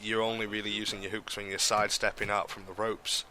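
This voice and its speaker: A young man talks over his boxing game in a low, nasal voice, his nose blocked by a cold. His delivery stays flat and even, wandering through ums and restarted sentences as he explains his tactics. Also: quick pace, slight background noise, rough recording. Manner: rambling, many ums and restarts. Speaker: gaming commentator. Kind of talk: casual gameplay commentary.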